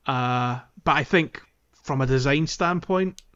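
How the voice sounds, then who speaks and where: A man's voice: mid-range voice, a little slow, fairly bright tone, muffled recording. A man hosting a casual gaming podcast, talking in an enthusiastic, geeky way. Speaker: podcast host. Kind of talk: casual game discussion podcast.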